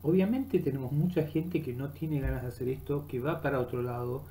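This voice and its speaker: A middle-aged man with an Argentine accent giving an unscripted, halting monologue that rambles as he searches for words, recorded on a webcam in a room. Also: medium pitch, dark tone, slight background noise. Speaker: vlogger. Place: small room indoors. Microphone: webcam at desk distance.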